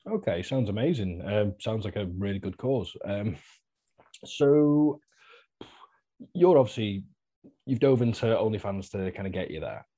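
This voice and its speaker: A man hosting a podcast, chatty and relaxed, with lots of fillers and easy laughs. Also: lowish voice, unhurried, pauses often. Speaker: podcast host. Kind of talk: casual interview chat.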